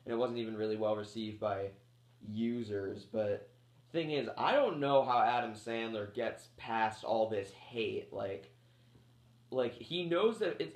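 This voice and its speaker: A man around thirty reviewing a movie in a loose, rambling way, full of fillers and restarts, while getting over a cold that makes him cough. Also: medium pitch. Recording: echoey room.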